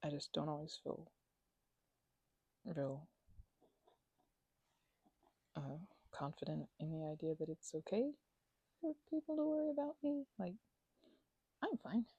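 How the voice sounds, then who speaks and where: A woman with a husky, gravelly voice rambles to the listener as her roommate in an ASMR roleplay, stammering and restarting, sometimes sinking into a whisper. Her tone is mellow and dark, and she sits close to the microphone in a dry, dead room. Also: deep voice, unhurried, pauses often, no echo, muffled recording. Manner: rambling and hesitant, with many fillers and stammered restarts; drops into soft whispers at times.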